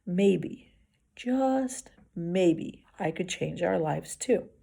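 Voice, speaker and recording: A woman's voice: fairly low pitch, animated, very slow, creaky, mellow, slightly rough. A woman reading a children's novel aloud expressively, as a teacher does for a class.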